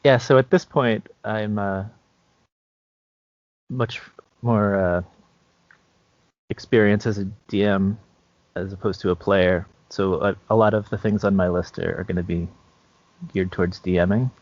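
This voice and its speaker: Gravelly, low-voiced man. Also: steady tone, a little slow, pauses often, darkish tone, no room sound, highs cut off. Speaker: podcast co-host. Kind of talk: casual chat.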